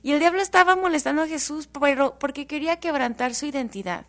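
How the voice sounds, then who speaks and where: High-voiced woman from El Paso, animated. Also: fast talker. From El Paso, Texas. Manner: animated, joking, warm testimony. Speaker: guest.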